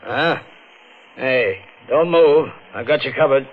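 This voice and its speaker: Vintage radio drama: male desk sergeant, mid-pitched, brusque, wary, unhurried. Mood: alarmed. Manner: curt, brusque. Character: gruff, drowsy police sergeant, wary and blunt. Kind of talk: 1950s radio drama acting. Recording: muffled old radio recording.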